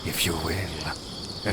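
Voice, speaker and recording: Man voicing a slow, deep, menacing werewolf. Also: noisy background. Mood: sly, bargaining. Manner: deliberate, menacing, formal, with drawn-out pauses. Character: cunning, secretive lone male werewolf, coldly proud. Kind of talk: slow theatrical audio roleplay.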